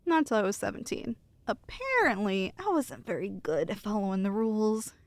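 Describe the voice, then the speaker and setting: Woman acting as tender, teasing girlfriend, slightly creaky voice. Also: medium pitch, big pitch swings, moderate pace, dry recording. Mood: warmly amused. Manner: tender, playful, teasing, reassuring. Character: affectionate teasing girlfriend soothing her nervous partner. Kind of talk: ASMR roleplay, intimate acted monologue.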